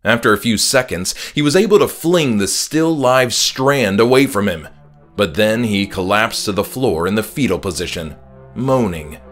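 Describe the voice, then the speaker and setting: Low-voiced man narrating horror with animated delivery. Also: normal speed. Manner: dramatic first-person storytelling. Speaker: author reading own audiobook. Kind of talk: scripted horror audiobook narration. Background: music.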